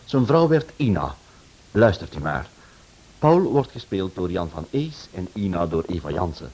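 A man's voice: low voice, very animated, normal speed, darkish tone, breathy edge, rough recording. A man, a radio director giving a scripted introduction to a drama series.

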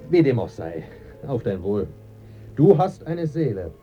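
A man's voice: mid-range voice, big pitch swings, a little slow, dark tone, lo-fi sound, faint music behind. A man acting in a German radio play as a dead writer, a bantering, evasive, pleasure-loving friend who savours his words. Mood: wry, expansive.

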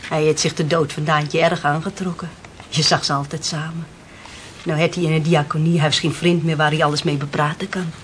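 A woman's voice: deep voice, level tone, fairly quick, a little hiss or hum, lightly acted. An actress playing a brash, plain-spoken, bantering working-class woman in a Dutch radio play. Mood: concerned.

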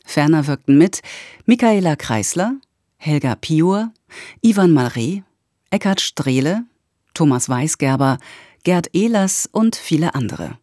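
A female radio announcer with a low, dark voice. She reads the credits slowly and formally, and the studio sound around her is dry. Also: expressive, no echo. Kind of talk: reading credits.

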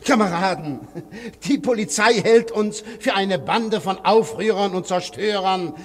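An actor playing an evasive, self-righteous anarchist orator who declaims and falters when cornered, in a radio play. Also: male voice, high voice, measured pace. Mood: earnest oratory. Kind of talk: German radio play acting. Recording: echoey hall with long reverb.

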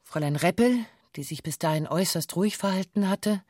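A woman's voice: on the low side, brisk, darkish tone, no echo, clean studio sound, lightly acted. An actress playing a female bank hostage who gives a formal, matter-of-fact witness statement in a documentary radio drama. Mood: sober recounting.

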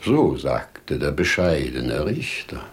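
An older actor playing a wise, humble old merchant in a 1950s German radio drama, with measured, declamatory verse delivery. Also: male voice, rumbling low, big pitch swings, heavily acted. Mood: solemn, gentle.

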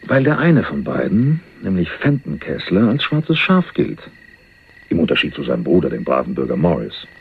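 An actor playing a shrewd, sardonic small-time jailbird in a German radio crime drama, teasing and probing. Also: male voice, low-pitched, wildly swooping pitch, normal speed, vocal fry, slightly rough, heavily acted. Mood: sly, insinuating. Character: shrewd, needling small-time male jailbird, broke and worldly-wise. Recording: dull lo-fi radio recording, faint noise.

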